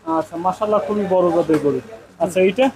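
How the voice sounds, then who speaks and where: A man's voice: high voice, quick pace. A man with a Bangladeshi accent hosting a farming programme on location, interviewing and often repeating what he hears back. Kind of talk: on-location interview with a scripted-sounding welcome. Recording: noisy on-location farm recording.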